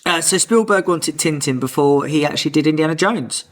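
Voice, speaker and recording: A man's voice: a little high, brisk. A man hosting a casual podcast, reading out trivia with a teasing, jokey manner. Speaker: podcast host.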